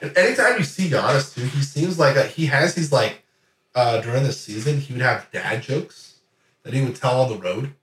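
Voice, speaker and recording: Bright-voiced man bantering from across an echoey room. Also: medium pitch, moderate pace, slight background noise. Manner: animated storyteller who acts out imagined quotes, profane. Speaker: podcast host. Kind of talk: casual sports banter. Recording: roomy echo, distant from microphone.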